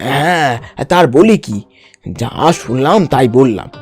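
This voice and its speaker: A man's voice: medium pitch, sing-song, a little slow, breathy edge, soft background music, full character voice. A man voicing a scheming village son-in-law in a comic cartoon, falsely polite and ingratiating, often repeating his words. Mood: slyly insinuating. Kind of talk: exaggerated comic cartoon dialogue.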